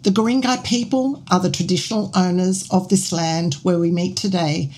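Measured woman podcast host. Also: on the low side, steady tone, darkish tone. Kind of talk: interview hosting.

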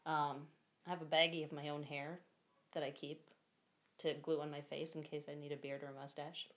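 A feminine-voiced guest talking candidly in a casual interview, chatty and quick to laugh. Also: female voice, low voice, level tone, a bit bright. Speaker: guest, costumed performer.

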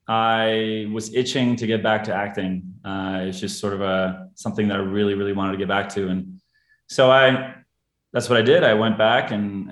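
A man born in Brazil with Portuguese as his first language, a guest actor chatting casually in an interview and telling his story in a relaxed, self-deprecating way. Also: lowish voice, reverberant.

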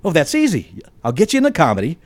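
A man's voice: on the high side, huge pitch swings, fast talker, no room sound, pitched above the performer's own voice, exaggerated voice. A man voicing a blunt, irritated, foul-mouthed guy in dialogue from a comic story. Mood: resigned, reassuring. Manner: blunt, exasperated.

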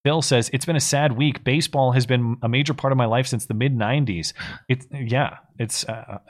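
Measured man co-hosting political talk. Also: medium pitch. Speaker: co-host of a political talk livestream. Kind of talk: casual political commentary, reading viewer messages aloud.

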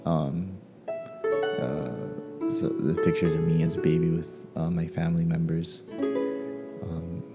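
A man from Hawaii, a playwright musing casually about his work and family, pausing often with ums. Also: sits low, little pitch movement, loud music behind the voice. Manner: hesitant, many ums and pauses. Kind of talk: casual personal reflection.

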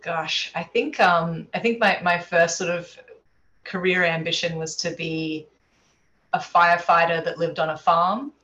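An Australian woman with some American in her accent speaks in a notably low voice. She is an easygoing podcast guest chatting casually from home, with some faint noise behind her. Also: fairly even intonation, unhurried, a bit bright, dull audio. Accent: Australian with American influence. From Adelaide, Australia. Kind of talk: casual interview chat. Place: at home, remote call.

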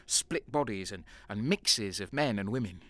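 An actor playing a bitter, violent male renegade guardsman, narrating slowly in the first person. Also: on the low side, lively intonation, a bit bright. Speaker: narrator and lead. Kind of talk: audio drama narration. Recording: music underneath.

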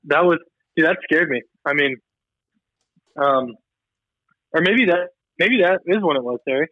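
A man's voice: on the high side, lively intonation, measured pace, no echo. A young man co-hosting a podcast over a call, rambling loosely through stories and swearing casually. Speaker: podcast co-host. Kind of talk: casual chat. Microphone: remote call line. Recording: dull lo-fi call audio.

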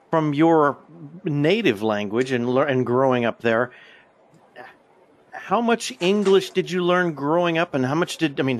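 A man hosting an interview, mostly giving short affirmations and asking halting, rephrased questions. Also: on the high side. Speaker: interview host. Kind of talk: casual interview.

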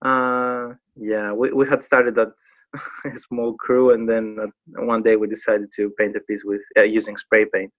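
A man in his thirties with a Greek accent, a native Greek speaker, chatting casually as an interview guest and often pausing to search for words. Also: lowish voice, level tone, muffled recording. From Greece. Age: 30s. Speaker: guest, graffiti artist.

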